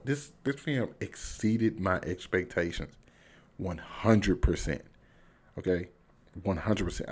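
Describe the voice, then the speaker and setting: A man's voice: low voice, darkish tone. An American man giving a casual, unscripted movie review to viewers, enthusiastic and slangy, repeating phrases for emphasis.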